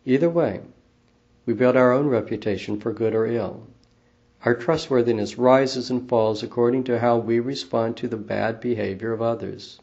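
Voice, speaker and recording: A man who serves as executive minister of a church, giving a calm, measured devotional talk in a quiet voice. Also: mid-range voice. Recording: slightly dull lo-fi recording.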